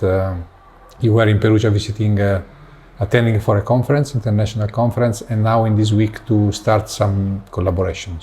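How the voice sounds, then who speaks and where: Italian-accented male interviewer, lowish voice, halting English, welcoming a visiting colleague. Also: warm tone. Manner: halting, searching for words in English. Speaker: interviewer, researcher. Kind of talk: academic interview conversation.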